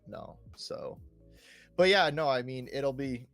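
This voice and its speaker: A man's voice: medium pitch, expressive, takes it slowly, gravelly, a bit bright, faint music behind. A man hosting a casual gaming podcast, often ending his statements with little checking tag questions. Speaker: podcast host.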